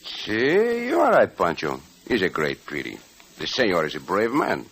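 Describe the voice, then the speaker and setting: A man's voice: deep voice, wildly swooping pitch, normal speed, a bit bright, husky, full character voice. A man playing a suave, commanding cowboy adventurer in a radio Western, courtly and teasing. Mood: mock courtly. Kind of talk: 1940s-50s radio Western acting. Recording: noisy old radio show recording.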